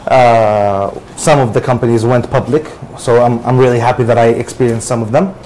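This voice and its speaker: Man, casual panel guest, average pitch, slightly halting, light background noise. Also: steady tone, darkish tone, low-quality recording. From Saudi Arabia. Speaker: panelist, MBA student and entrepreneur.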